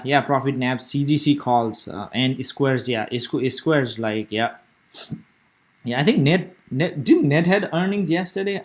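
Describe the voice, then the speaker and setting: A young man from Nepal, giving casual live commentary on stock charts and rambling aloud with many fillers and restarts. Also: medium pitch. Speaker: stock trading streamer.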